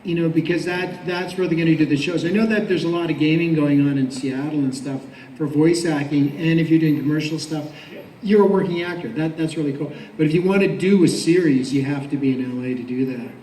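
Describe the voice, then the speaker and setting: A woman's voice: deep voice, fairly quick, warm tone. A woman voice actor chatting casually and giving advice on a panel. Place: convention panel room. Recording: distant, echoey hall, crowd, faint noise.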